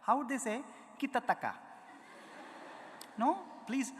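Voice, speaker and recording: A man with an Indian accent lectures an audience, his voice sitting high for a man. He demonstrates rhythm syllables aloud and keeps asking the listeners questions. His words ring with the long reverb of a big hall. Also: a little slow, a little hiss or hum. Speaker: music lecturer. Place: large auditorium.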